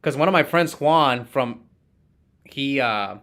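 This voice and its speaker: A man's voice: mid-range voice, lively intonation, slow pace, fairly bright tone. A man casually commentating a card game he is playing live, thinking aloud and chatting with viewers as he goes.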